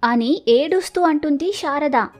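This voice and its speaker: Very high-pitched woman storyteller. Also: animated, unhurried, soft background music. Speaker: narrator. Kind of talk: scripted moral-story narration.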